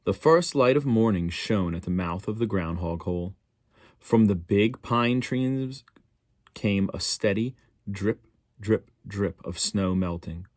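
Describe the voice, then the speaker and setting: A man's voice: lowish voice, slow pace, darkish tone, no room sound, studio quality. A man reading a picture book aloud expressively, as a storyteller for schoolchildren.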